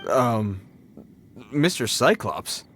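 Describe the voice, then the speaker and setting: A man's voice: medium pitch, sing-song, extremely slow, background noise. Earnest, formal patrolman. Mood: flustered. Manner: formal, precise, slightly flustered. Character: earnest, awkward patrolling man, formal and cautious. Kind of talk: video game voice acting.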